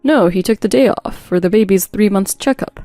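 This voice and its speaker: Woman putting on a mellow older father-in-law. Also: medium pitch, moderate pace, dry recording, faint music behind, close to an everyday voice. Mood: polite, concerned. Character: older father-in-law and boss, polite, stern. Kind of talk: scripted audio story dialogue.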